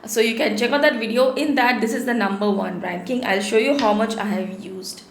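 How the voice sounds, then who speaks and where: A woman's voice: fairly high pitch, brisk, vocal fry, echoey room, slight background noise. Chatty woman with a sore throat. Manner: chatty, repeats words for emphasis. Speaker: beauty vlogger. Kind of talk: casual product review to camera. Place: small home studio room.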